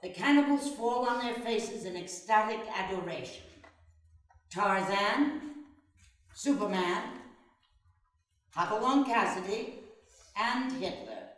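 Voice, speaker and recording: A woman's voice: medium pitch, expressive, takes it slowly, pauses often, a bit bright. A woman reading stage directions as narrator in a dramatic live play reading. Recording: echoey hall, far from mic.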